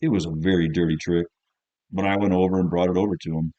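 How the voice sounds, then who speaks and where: A man's voice: deep voice, fairly even intonation, quick pace, mellow, dry recording, muffled recording, lightly acted. A man reading as a jaded, cynical teenage schoolboy, narrating an audiobook in the first person in a colloquial, grumbling, offhand way.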